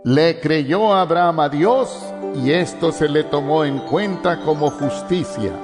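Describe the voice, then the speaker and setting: In long, cavernous reverb, a solemn voice of ancient scripture speaks slowly over music. It is measured and grave, as a quotation in a Bible audio drama. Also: male voice, on the high side.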